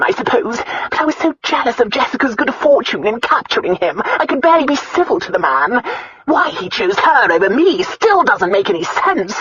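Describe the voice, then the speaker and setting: A woman's voice: on the high side, a bit bright, slightly rough, dry recording, highs cut off, pitched above the performer's own voice, full character voice. A woman voicing a greedy, self-pitying older countess in an audiobook, wailing and whining in indignation. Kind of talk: audiobook character acting.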